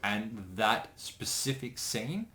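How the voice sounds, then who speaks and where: A man's voice: on the low side, big pitch swings, takes it slowly, pushed and bright, a little hiss or hum. A man co-hosting a commentary who chats casually and often corrects himself mid-sentence. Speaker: co-host and voice actor.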